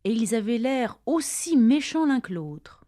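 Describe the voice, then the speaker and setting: A woman reads her half of a scripted practice dialogue, slowly and with deliberate care so learners can follow. Her tone is warm and mellow, and the recording is dry and close, with no room sound. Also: a little high, sing-song, no room sound. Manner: careful, deliberate delivery for learners. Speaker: language-lesson dialogue reader. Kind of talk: slow scripted dialogue, each line read twice.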